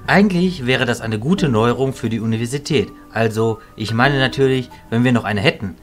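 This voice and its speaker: A man playing a kindly, fatherly old wizard leader, speaking calmly and considerately in a fantasy audio drama. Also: medium pitch, brisk, soft background music, close to an everyday voice. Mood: calm, friendly. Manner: calm, considerate.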